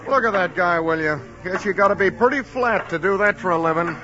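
Brief: man as jeering radio-play bystander, fairly high voice, muffled old broadcast sound. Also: brisk, loud music behind the voice, natural voice. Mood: mocking. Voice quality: mocking, offhand. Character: sneering male passerby on a busy street. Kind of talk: 1940s radio acting. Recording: dull lo-fi old radio recording.

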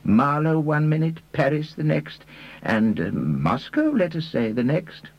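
A man voicing a mild, fussily polite detective in an audiobook, hesitant and apologetic with sly irony and drawn-out, pedantic phrasing. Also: a little high, sing-song, vocal fry, no echo, muffled recording, voice pushed up, full character voice. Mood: murmured irony. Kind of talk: audiobook character voice.

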